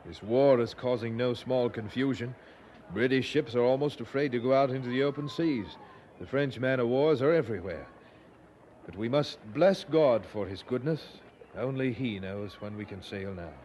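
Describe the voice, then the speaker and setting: Actor as an earnest, resolute preacher of old. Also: male voice, mid-range voice, moderate pace, darkish tone, highs cut off, a little hiss or hum, close to an everyday voice. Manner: emphatic, formal, declamatory. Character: earnest, resolute 18th-century English preacher. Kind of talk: audio drama acting.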